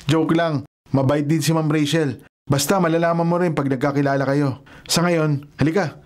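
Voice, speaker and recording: An actor playing a protective, teasing cousin who works as a driver in a Filipino radio drama, brotherly and matter-of-fact. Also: male voice, on the high side, fairly even intonation, normal speed, close to an everyday voice. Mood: friendly, teasing. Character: protective, teasing male cousin, family driver showing newcomer around. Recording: loud music bed behind dialogue.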